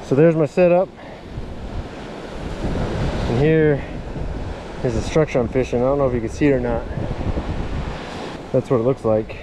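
A man talking casually to viewers in an excitable, folksy, slangy way, like a fishing vlogger, recorded outdoors on an action camera. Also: medium pitch, normal speed, pauses often. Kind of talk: casual fishing vlog commentary. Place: outdoors on an open sea beach. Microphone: body-worn action camera. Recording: windy beach, surf and wind noise. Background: wind and breaking waves.

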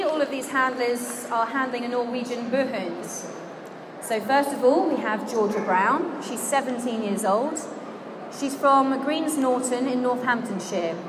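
A woman working as ring announcer in a large indoor exhibition hall, calling out names slowly and clearly over a public-address system. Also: on the high side. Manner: slow, declamatory announcing of names. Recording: crowd and hall noise.

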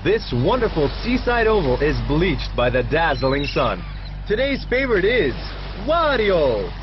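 A hyped race announcer. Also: male voice, sing-song, unhurried. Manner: rising hype on course names, swinging pitch. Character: peppy male race broadcaster, upbeat. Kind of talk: excited game announcer. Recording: dull game audio with noise.

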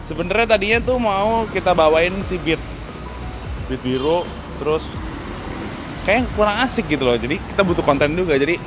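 Young man joking in casual slang. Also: on the high side. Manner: joking, teasing, lively slang. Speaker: vlog host. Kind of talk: casual chat. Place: outdoor garage forecourt.

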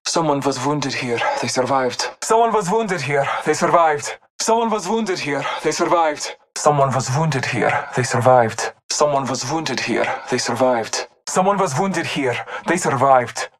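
An actor playing a solemn, devout masked hunter and tracker in a video game, speaking gravely with formal, measured phrasing. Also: mid-range voice, background noise, natural voice. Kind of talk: video game character callouts.

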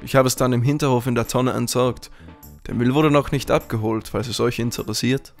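A man's voice: medium pitch, fairly even intonation, a little fast, creaky, soft background music, lightly acted. A man playing a friendly but shady kebab shop owner in a parody radio play. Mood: genial.

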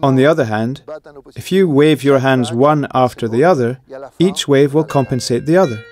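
A middle-aged man calmly explaining, voicing over a translated interview. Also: medium pitch, moderate pace, faint music behind.

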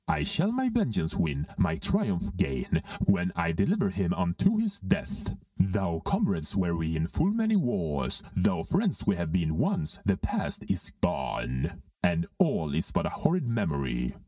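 A man playing a grave, vengeful dark lord, declaiming Shakespearean verse in an amateur dramatic reading. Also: low-pitched, no room sound, far from the mic, exaggerated voice.

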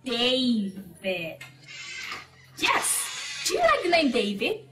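A woman's voice: fairly high pitch, wildly swooping pitch, takes it slowly, sharp tone, soft background music, exaggerated voice. A young female actor playing a playful, bossy Nigerian girl in a film drama, talking in a teacherly, teasing way. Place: porch outdoors.

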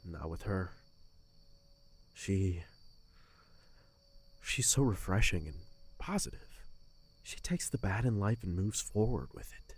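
A man playing a heartbroken, guilt-ridden young captain in a fantasy audio drama, speaking haltingly with raw emotion and a husky voice. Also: sits low, lively intonation, heavily acted. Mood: grieving, tender.

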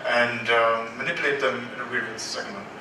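A man's voice: medium pitch, fast talker. A man whose first language is Urdu, speaking casually and hesitantly on a festival panel in a large hall. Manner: loose, hesitant, many fillers. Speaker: panelist musician. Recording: echoey hall from far off, noisy.

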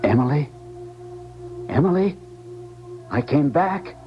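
An actor playing a grieving, unsettled scientist, earnest and troubled, in a 1970s radio drama. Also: male voice, on the high side, expressive, slow, soft background music. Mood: longing. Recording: dull 1970s radio recording.

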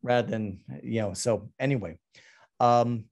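A middle-aged man talking casually about his artwork on a video call, halting with many ums and restarts. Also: medium pitch, fairly even intonation, darkish tone, muffled recording. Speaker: artist presenting his work. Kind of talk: casual talk over a slide presentation.

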